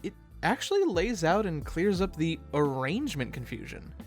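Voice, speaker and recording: Animated high-pitched man commentating. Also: normal speed, faint music behind. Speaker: co-host of a fan commentary show. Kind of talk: scripted back-and-forth commentary.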